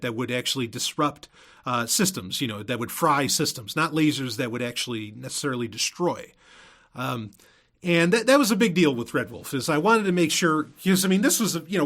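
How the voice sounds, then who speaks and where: A man with a fairly high-pitched voice hosts a podcast as an audio drama maker. He speaks in a casual, rambling monologue with halting starts. He often repeats words to drive his point home. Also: a bit bright.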